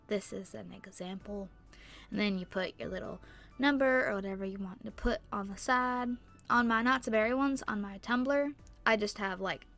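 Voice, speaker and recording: A young woman with a stuffy nose and sore throat, rambling chattily through a casual tutorial voice-over on a boom-arm mic. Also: a bit bright. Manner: rambling, chatty, lots of fillers. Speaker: YouTuber. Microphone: microphone on a boom arm.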